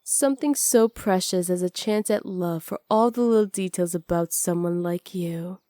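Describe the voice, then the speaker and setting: A woman playing a seductive, teasing witch in an intimate ASMR roleplay, sly and possessive, often slipping into breathy whispers close to the microphone. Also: fairly low pitch, warm tone, no echo, lightly acted. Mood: sly, probing. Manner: slow, breathy, often whispered, flirtatious purr. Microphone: close studio microphone.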